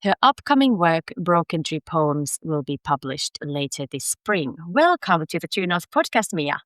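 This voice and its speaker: A woman's voice: low-pitched, very animated, a little slow, no room sound. A woman hosting a podcast, friendly and encouraging as she welcomes and questions her guest. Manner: friendly, encouraging, laughs often. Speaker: podcast host. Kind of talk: podcast interview.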